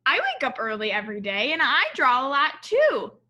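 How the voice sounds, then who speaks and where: A woman voicing an eager, curious little girl in a storybook, earnest and expressive. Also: high-pitched, moderate pace, heavily acted. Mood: eager, proud. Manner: earnest, expressive, addressing her father.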